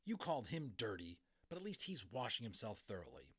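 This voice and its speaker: A man's voice: on the low side, huge pitch swings, fairly quick, a bit bright, slightly rough, full character voice. A man voicing a schoolboy who talks firmly and bossily like a parent in a storybook. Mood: scolding. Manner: firm, scolding. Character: bossy schoolboy imitating stern parents.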